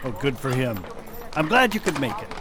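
A man's voice: mid-range voice, sing-song, normal speed, crowd noise, close to an everyday voice. A man playing a hearty, welcoming small-town sheriff in an audio drama. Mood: genial. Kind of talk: radio drama acting.

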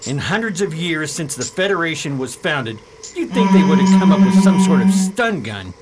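Man acting watchful military major, fairly high voice, scifi radio play, really noisy recording. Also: sing-song, moderate pace. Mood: pondering. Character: gruff, watchful male space marine major. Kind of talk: science fiction radio drama acting. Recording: very noisy amateur drama recording.

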